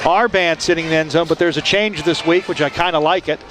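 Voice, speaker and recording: A man's voice: fairly high pitch, fairly even intonation, fast talker. Chatty man commentating over band music. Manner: chatty, laughs easily, hesitant fillers. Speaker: color commentator. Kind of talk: live high school football commentary. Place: outdoor football stadium. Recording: marching band music playing behind. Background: marching band music.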